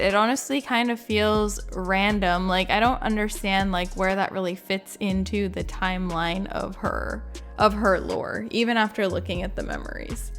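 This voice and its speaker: Woman, medium pitch, casual reaction video, rambling informal phrasing, background music. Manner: informal, rambling, heavy use of "like". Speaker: gaming video creator. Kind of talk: casual reaction commentary with rankings.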